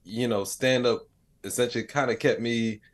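A man's voice: mid-range voice, steady tone, normal speed. A man speaking as an interview guest in casual, reflective conversation, peppering his talk with "like" and "you know". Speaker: podcast guest, comedian.

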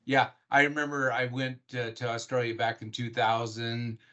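A middle-aged American man hosts an interview podcast. His voice sits at a medium pitch, and he speaks conversationally as he puts questions to his guest. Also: flat delivery, moderate pace, bright, forceful tone, lo-fi sound. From Washington State, United States.

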